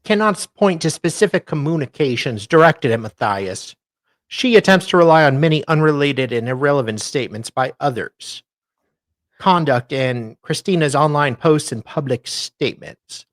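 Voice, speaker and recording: A man's voice: on the high side, animated, moderate pace, dry recording. A man co-host reading formal text aloud, stumbling now and then over the words.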